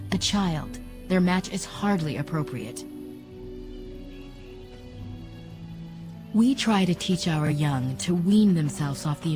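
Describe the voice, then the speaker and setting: A woman playing a wise, composed elf apprentice in a fantasy video game, speaking in a calm, reflective, formal way. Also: fairly low pitch, close to an everyday voice. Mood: gently reproving. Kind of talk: fantasy video game acting, measured storytelling.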